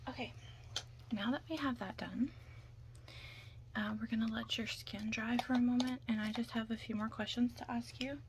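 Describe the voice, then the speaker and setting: Soft-spoken woman, half whispering. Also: fairly high pitch, fairly even intonation, normal speed, long pauses. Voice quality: soft, breathy, often dropping into whisper. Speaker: ASMR roleplayer playing a makeup artist. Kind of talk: ASMR soft-spoken roleplay. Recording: clear background noise.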